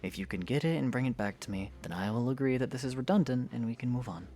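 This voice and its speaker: A man playing a calm, stoic young swordsman in an audio drama, patient but firm, with measured, plain speech. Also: mid-range voice, slight background noise, close to an everyday voice. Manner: measured, plain-spoken, understated. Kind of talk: scripted audio drama acting.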